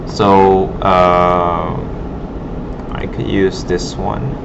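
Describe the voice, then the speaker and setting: Deep-voiced man teaching, muffled noisy recording. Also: fairly even intonation, very slow, vocal fry. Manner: informal, thinking aloud while working. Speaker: art instructor. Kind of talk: casual classroom demo with running commentary. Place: classroom at an art academy. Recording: muffled, noisy broken classroom audio.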